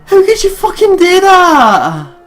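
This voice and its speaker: A woman's voice: very high-pitched, wildly swooping pitch, slow pace, faint music behind. A young woman hosting a let's play, chatting casually and expressively as she comments on the game, with plenty of swearing.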